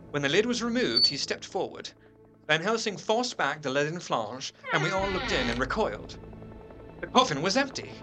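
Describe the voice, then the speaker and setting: A man playing an earnest, haunted Victorian gentleman, narrating a horror drama in a measured, literary and expressive way. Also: a little high. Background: music.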